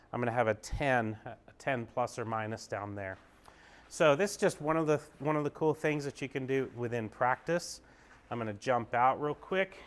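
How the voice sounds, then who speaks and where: A man with a smooth, resonant voice and a middle pitch talks in a relaxed, upbeat way. He explains each screen of an indoor golf simulator bay as he clicks through it, like a golf pro giving a casual tour. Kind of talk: casual walkthrough demo.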